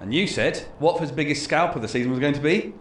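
A man hosting a casual football podcast outdoors, jokey and halting with fillers. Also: on the high side, a bit bright, slight background noise. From England. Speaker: podcast host. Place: outdoors near a stadium.

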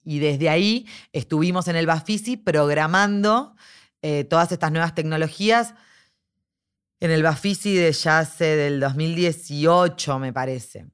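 A woman's voice: low-pitched, a little slow, clean tone. A woman with an Argentine Spanish accent, speaking enthusiastically as an interviewee about an immersive dome cinema. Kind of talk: casual interview.

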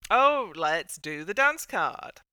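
Woman podcast host, bright smooth voice, dry close sound, wry and chatty. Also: mid-range voice, very animated, slow, no room sound. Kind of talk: casual discussion of a TV dance show.

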